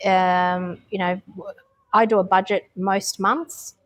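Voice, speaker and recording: A woman's voice: mid-range voice, steady tone, takes it slowly. An Australian woman talking openly about her life, with frequent ums and restarts. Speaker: interviewee. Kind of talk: casual interview answers.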